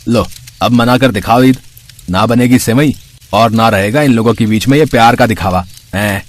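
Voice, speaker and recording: A man's voice: mid-range voice, moderate pace, lightly acted. A man voicing a spiteful, scheming village cobbler in a story, sneering and muttering as he gloats. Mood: gloating.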